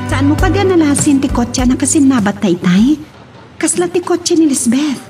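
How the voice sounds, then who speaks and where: A woman's voice: high voice, lively intonation, normal speed, music in the background. A woman acting a lovestruck young woman in a radio romance drama, with an Ilocano accent. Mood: uneasy.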